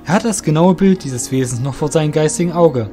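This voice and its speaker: A man with a fairly high voice reads scripted fantasy narration. He is the storyteller of an audio drama, and music plays behind him. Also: a little fast, dark tone.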